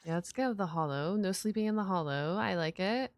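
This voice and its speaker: A woman's voice: mid-range voice, expressive, fairly quick, no echo. A woman game streamer reacting live in a casual, chatty way and greeting viewers.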